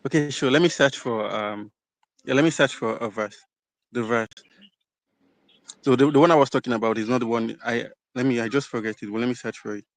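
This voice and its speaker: A man's voice: medium pitch, fairly quick, dry recording, low-quality recording. A man taking part as a guest in an informal debate, hesitant and searching for his words.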